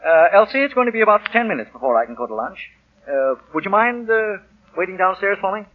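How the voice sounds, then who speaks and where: An actor playing a proud, flustered young husband and new executive, hesitant and self-important, in 1940s radio style. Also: male voice, high voice, sing-song, fast talker. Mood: sheepish. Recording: dull 1940 radio broadcast recording.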